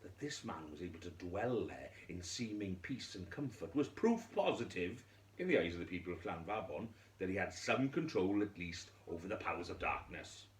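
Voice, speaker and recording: Deep-voiced man telling a fairy tale. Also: very animated. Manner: theatrical, measured phrases with dramatic pauses. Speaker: storyteller. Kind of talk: scripted fairy tale narration for children. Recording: echoey room with faint noise.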